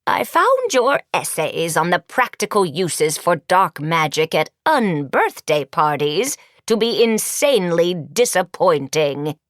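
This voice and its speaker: A woman voicing an ancient, stern old sorceress teacher in a storybook, with a dry, raspy, drawn-out, scornful delivery. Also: on the low side, big pitch swings, a bit bright, no echo, exaggerated voice.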